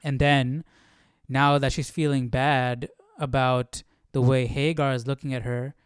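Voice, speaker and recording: A man's voice: mid-range voice, little pitch movement, measured pace, clear, resonant tone, dry recording, clean studio sound. A man casually commenting on what he reads as a stream host, musing and questioning as he works out his thoughts. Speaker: host of a live Bible study stream. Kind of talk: casual reading commentary, thinking aloud.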